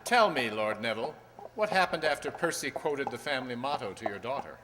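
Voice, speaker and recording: An actor playing a shrewd, dryly superior gentleman detective in a radio play. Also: mid-range voice, expressive, a little fast, lightly acted. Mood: probing. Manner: crisp, probing, wry. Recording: noisy, echoey hall recording.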